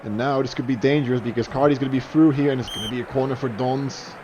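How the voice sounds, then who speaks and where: A man's voice: medium pitch, steady tone, fast talker, dull audio, background noise. A young man commentating a live online match in a chatty way, voice jumping up on goals and saves. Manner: chatty, rises sharply on goals and saves, fillers and restarts.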